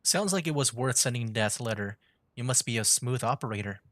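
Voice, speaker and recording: A male streamer with a medium-pitched voice talks casually over a game. He reads dialogue and comments in an offhand, informal way. The sound is very dry. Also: expressive, fairly quick, fairly bright tone, dry recording.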